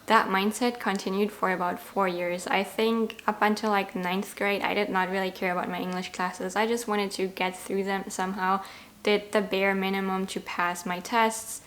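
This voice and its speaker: A woman's voice: mid-range voice, fairly bright tone. A woman in her twenties from Germany, a native German speaker with a German accent, telling her own story in a reflective, candid, conversational way to camera. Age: 20s. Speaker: language-learning vlogger.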